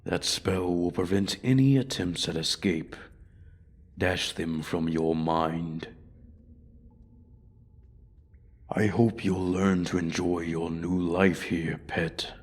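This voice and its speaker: A man's voice: low-pitched, slow pace, husky, heavily acted. A man voicing an ancient male dragon in a fantasy roleplay, haughty, bored and menacing, speaking formally and condescendingly. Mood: smug, possessive. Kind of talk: ASMR fantasy roleplay audio.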